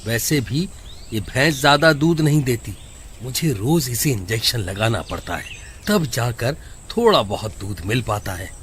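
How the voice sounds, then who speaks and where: Casting: man voicing greedy village milkman for cartoon moral tale; high, very animated, grumpy scolding; noisy animal ambience. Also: normal speed. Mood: irritated, stingy. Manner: scolding, exaggerated complaining delivery. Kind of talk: animated Hindi moral story dialogue. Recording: noisy track, cattle and cricket sounds.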